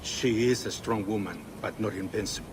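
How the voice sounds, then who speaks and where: An actor playing a grave, measured village leader and assassin mentor, speaking formally in a video game cutscene. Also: male voice, on the low side, noisy background, lightly acted.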